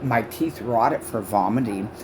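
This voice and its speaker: Loud, furious woman in her sixties. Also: rumbling low, background noise. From Canada. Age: 60s. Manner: shouting, profane, accusatory; halting speech from false teeth. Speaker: vlogger. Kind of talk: angry rant to camera.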